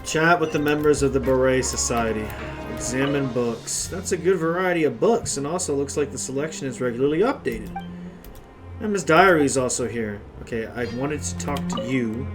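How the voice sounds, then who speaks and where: A woman's voice: extremely low pitch, normal speed. A woman giving casual, slangy commentary while playing a video game. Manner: slangy, informal reactions. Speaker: gameplay streamer. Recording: game music behind the voice.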